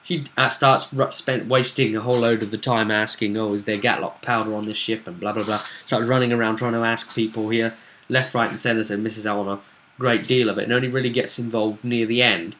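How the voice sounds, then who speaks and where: A man's voice: on the low side, little pitch movement, a little fast, fairly bright tone, a little hiss or hum. A man in his twenties casually retelling a game session like a story, acting out bits of dialogue, recorded in a room at home. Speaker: vlogger recapping a role-play game session. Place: small room at home, indoors.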